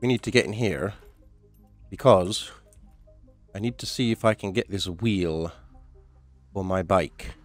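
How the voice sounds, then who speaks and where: A man's voice: low voice, unhurried, long pauses, dry recording, soft background music. A man with a stuffy, nasal voice from a cold, chatting casually while commentating a survival game. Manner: chatty, rambling, mild swearing. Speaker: gaming YouTuber.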